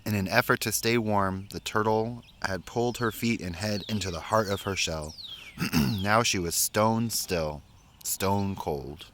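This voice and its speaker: A man with a low voice reads a picture book for children. His delivery is measured and expressive, with pauses between phrases. Some background noise sits behind the reading. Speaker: story reader.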